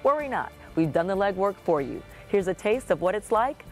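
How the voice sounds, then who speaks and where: A middle-aged woman hosts an arts show from a studio, her delivery polished and scripted. Her pitch moves a lot, rising and falling with each phrase. Soft music plays underneath. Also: moderate pace. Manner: lively, polished presenter delivery. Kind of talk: scripted presenting. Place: dark TV studio.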